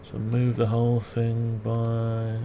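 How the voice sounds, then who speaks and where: A man's voice: mid-range voice, takes it slowly. A man muttering to himself and questioning himself as he demonstrates design work, unscripted and thinking aloud. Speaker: video creator demonstrating CAD design. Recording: steady background noise, keyboard typing.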